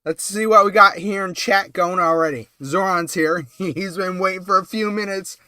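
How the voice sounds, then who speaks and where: A man with a cold hosts a relaxed late-night live stream in a high, bright voice. His tone stays smooth and clear despite the cold. He rambles and chats, full of ums and short laughs, and the sound is dry and close. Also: moderate pace, dry recording. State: has a cold.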